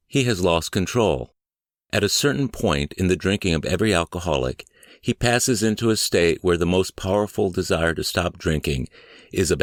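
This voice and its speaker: Man, low-pitched voice, audiobook narration, measured deliberate phrasing, dry close sound. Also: dry recording. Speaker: audiobook and podcast reader. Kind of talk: scripted narration, audiobook reading.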